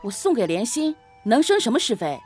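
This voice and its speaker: A young gentleman of an old Chinese household, played by a woman, speaks with lively, swinging intonation over music. He is an exasperated husband, petulant and self-righteous, in period audio drama style. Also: a little high, a little fast. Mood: indignant.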